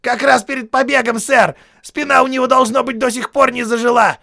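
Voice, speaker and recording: A man voicing a foul-mouthed, groveling army sergeant who screams and pleads in a shrill, hoarse voice, in an audiobook. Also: steady tone, brisk, sharp tone, heavily acted. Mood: insistent. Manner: screaming, pleading, spitting insults.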